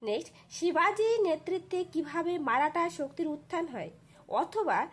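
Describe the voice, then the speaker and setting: High-pitched woman teacher. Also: animated, measured pace. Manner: instructional, frequent checking tag questions. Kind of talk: exam question walkthrough for school pupils.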